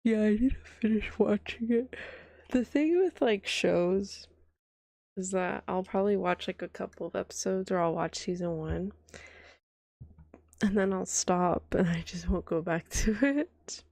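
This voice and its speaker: A woman streamer with a cold chats softly and rambles, her voice hoarse and scratchy, giggling and coughing often. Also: a little slow, dry recording. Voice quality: deep, scratchy voice. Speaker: vtuber streamer. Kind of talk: soft-spoken casual stream chat.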